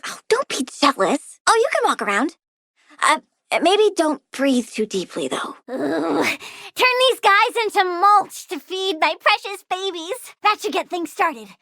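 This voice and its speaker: A girlish plant supervillain from a video game, pitched very high and swooping freely between chirpy delight and sulky threat. She is perky, odd and cheerfully menacing, and the recording is close and dry. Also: unhurried, no room sound, heavily acted. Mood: gleeful, menacing. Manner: cutesy, playful, swinging from chirpy excitement to sulky menace. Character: childlike plant-girl supervillain, perky, eccentric, gleefully menacing. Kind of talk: video game character voice lines.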